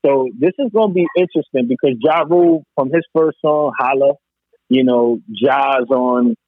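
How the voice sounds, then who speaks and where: A man's voice: medium pitch, moderate pace, dry recording. A man talking casually as a podcast host in a hip-hop debate, emphatic and repeating phrases to drive points home. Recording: narrow tinny phone-like line.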